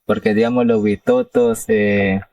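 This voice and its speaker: A local man guiding visitors outdoors in the Amazon rainforest, explaining in a halting, hesitant way. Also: lowish voice, wildly swooping pitch, vocal fry, darkish tone. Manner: halting, explanatory, many restarts. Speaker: local guide.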